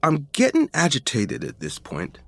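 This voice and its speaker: A man reads a spooky personal tale for a podcast. His pitch sits in the middle for a man but moves around a great deal. The delivery is informal and expressive, like someone recounting it in conversation. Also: moderate pace, vocal fry. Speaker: podcast story reader. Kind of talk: first-person ghost story narration, conversational storytelling.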